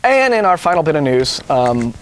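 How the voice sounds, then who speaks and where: A man's voice: fairly high pitch, big pitch swings, moderate pace, slight background noise. An American man co-hosting a talk show, reading out news items with casual, wry and mocking asides. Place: TV studio.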